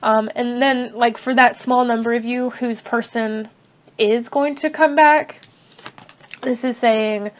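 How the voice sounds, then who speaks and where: A young woman giving a casual tarot reading at a table, chatty and reassuring, her voice slightly raspy. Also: on the high side. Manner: chatty, reassuring, many fillers. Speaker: tarot reader. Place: indoors at a table.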